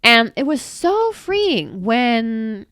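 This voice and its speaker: A woman's voice: on the high side, sing-song, very slow, a bit bright, no echo, studio quality. A woman hosting her own channel, reading viewer comments aloud and reacting with laughs, exclamations and mild swearing. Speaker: channel host answering viewer questions.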